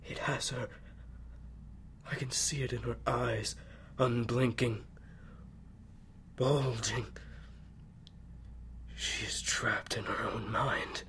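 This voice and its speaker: A man playing a sadistic, clinical mad scientist villain who savors fear, recording a halting audio-drama log. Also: medium pitch, steady tone, a little hiss or hum. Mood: hushed awe. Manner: slow, halting, savoring, hushed at times. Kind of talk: acted audio log monologue.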